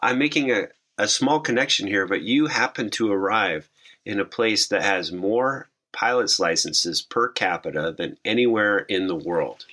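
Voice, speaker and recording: A man hosting an interview podcast, asking slow, reflective, rambling questions. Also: lowish voice, pushed and bright. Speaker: podcast host.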